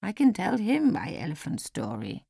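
A woman voicing a frail elderly woman who speaks formally and with gentle insistence, in an audiobook story. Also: mid-range voice, huge pitch swings, vocal fry, husky, dry recording, studio quality, heavily acted. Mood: kindly. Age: older. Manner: measured, formal, gently insistent speech with few contractions.

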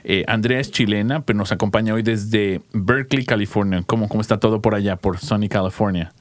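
Man co-host, lowish voice, stammers word starts, dry studio. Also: steady tone, a little fast, dry recording. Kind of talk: radio interview.

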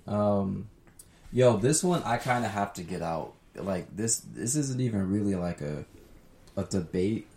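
A young man with a low, gravelly voice argues his strong opinions on a casual podcast, padding his sentences with "like". His voice bounces around an echoey room over faint background noise. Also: expressive, unhurried, mellow. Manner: opinionated, hedging with "like". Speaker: podcast co-host. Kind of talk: casual chat.

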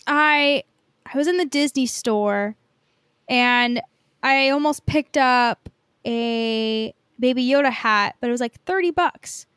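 High, clear-voiced American woman, chatty podcast host. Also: takes it slowly, a bit bright, no room sound, clean studio sound. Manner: informal and conversational, peppered with "like". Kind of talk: casual podcast chat.

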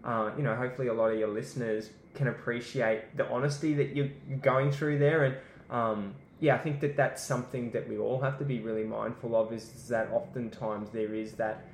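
A man's voice: medium pitch, fairly even intonation, a little fast, a little hiss or hum. An easygoing, upbeat man interviewing podcast hosts, often saying "you know". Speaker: guest interviewer. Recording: roomy echoey room.

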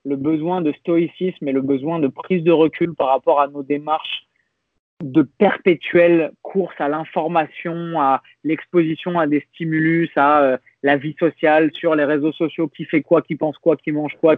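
A man's voice: fairly high pitch, no echo. A young adult man, a podcast guest, talking in a reflective, hesitant way with many restarts. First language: French. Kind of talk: casual conversational interview. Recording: dry, phone-like narrow sound.

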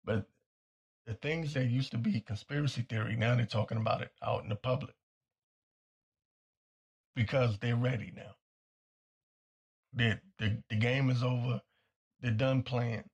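A man hosting a talk show with a hoarse, nearly gone voice, delivering a blunt and insistent commentary monologue that repeats its points. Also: medium pitch, steady tone, measured pace, long pauses, muffled recording. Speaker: podcast host.

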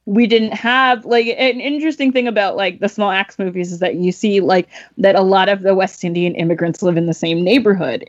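A woman's voice: mid-range voice, muffled recording. A young woman, a podcast guest, talking casually about films in a rambling way full of fillers and laughs. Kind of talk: casual film discussion.

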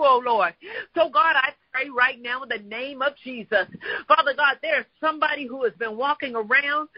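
Very high-pitched man in fervent prayer. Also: no room sound, dull audio. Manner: impassioned, declamatory, repeated invocations and refrains. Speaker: prayer leader.

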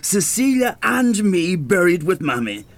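An actor playing a dead old Irish widower in a radio play, cantankerous and self-pitying, with a pleading, hesitant, indignant way of talking. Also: male voice, high-pitched, very animated, a little slow, heavily acted. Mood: uneasy. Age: older.

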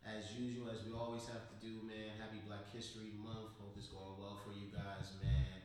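A slightly hoarse American man hosts a casual podcast in informal, slangy speech. He sounds set back from the mic in a very echoey room, with a little noise on the recording. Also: lowish voice, flat delivery, moderate pace, a little mellow, distant mic. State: recovering from voice loss, slightly hoarse. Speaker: podcast host. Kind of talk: casual podcast chat and storytelling.

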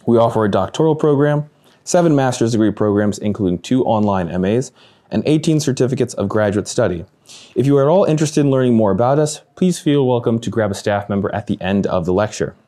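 A man's voice: mid-range voice, expressive, fast talker, dark tone. A man hosting a lecture, politely reading the welcome announcements and introducing the speaker, with a few fillers. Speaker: event host introducing the speaker.

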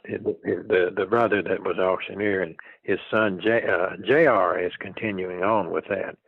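A man in his eighties reminiscing in a casual interview, speaking in a folksy, halting way and repeating words and phrases as he goes. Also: low voice, steady tone, creaky, no echo, narrow, tinny audio. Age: 80s. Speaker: interviewee, retired farm machinery dealer.

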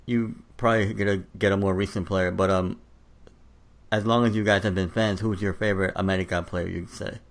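A man's voice: deep voice, fairly even intonation, a little fast, creaky. A man co-hosting a podcast, chatting in a laid-back way with lots of filler words. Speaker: podcast co-host. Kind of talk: casual podcast chat.

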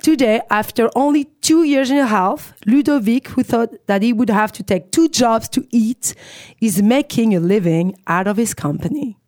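Woman talk show host, kinda high voice, chatty and teasing, lots of "like" and "i mean". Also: lively intonation, moderate pace, warm tone. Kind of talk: casual interview.

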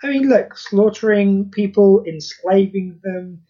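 A high-voiced man trading dry, sarcastic jabs in casual podcast chat. The sound is dull and lo-fi, like a remote call. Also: unhurried, warm tone. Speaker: podcast co-host.

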